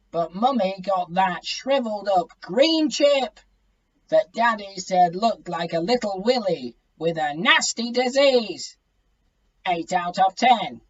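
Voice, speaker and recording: A reader voicing an excitable, blunt young boy, in a breathy, insistent storybook voice. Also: mid-range voice, highs cut off.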